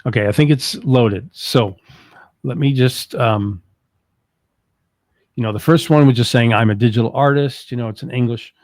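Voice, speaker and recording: A man's voice: medium pitch, moderate pace, pauses often, darkish tone, dry recording. Male tutorial co-host, casual, tentative step-by-step talk, close dry sound. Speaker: co-host demonstrating software. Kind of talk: casual live tutorial walkthrough.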